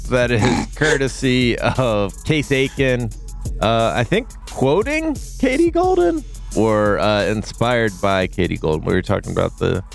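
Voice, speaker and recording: A man's voice: mid-range voice, very animated, a little slow, creaky, soft background music. A man with a cold hosting a casual comedy podcast, loose and jokey with big swoops of emphasis. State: sick, deep voice. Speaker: podcast host.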